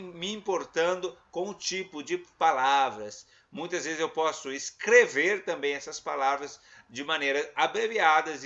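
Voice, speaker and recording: A man's voice: fairly high pitch, fairly bright tone. A man teaching a recorded online class, explaining points and asking his listeners rhetorical questions. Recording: slightly muffled, lo-fi recording.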